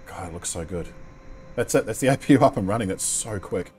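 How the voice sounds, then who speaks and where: A man's voice: lowish voice, lively intonation, brisk, a little mellow, slight background noise. A man in his thirties with a New Zealand accent giving casual, rambling flight sim commentary full of ums and the odd swear, speaking into a headset at home.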